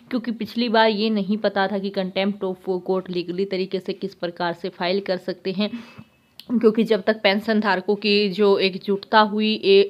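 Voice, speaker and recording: A woman's voice: medium pitch, muffled recording. A woman hosting a YouTube news channel, conversationally with some hesitations and restarts.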